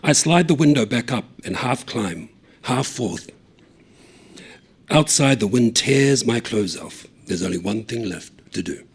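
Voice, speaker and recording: A man reading a short story aloud, acting out the voices of the characters, loud on some lines and hushed on others. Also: medium pitch, measured pace, breathy edge. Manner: lively, acts out the dialogue, shouting some lines and dropping to a hush on others.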